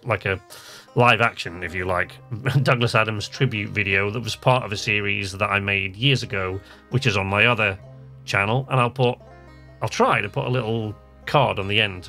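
A man's voice: on the low side, vocal fry, sharp tone. Male game channel host, casual playthrough talk, music underneath.

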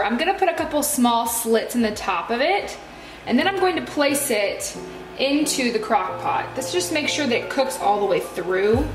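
A high-pitched American woman gives a casual cooking demonstration in a home kitchen. She explains each step in a chatty way. The room is echoey and music plays behind her.

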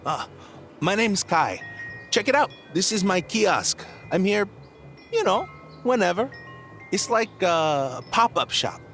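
Easygoing surfer guy vendor, slangy, animated pitch, faint music, game dialogue. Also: slow, pauses often, heavily acted. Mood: laid-back. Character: laid-back surfer dude running a pop-up kiosk. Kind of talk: video game voice acting.

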